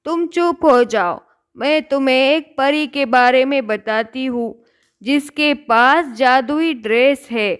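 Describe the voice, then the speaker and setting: A woman voicing a kindly old woman in a cartoon fairy tale, soothing and measured. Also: high-pitched, clear, resonant tone. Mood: kind reassuring. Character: kindly old woman guiding a troubled girl.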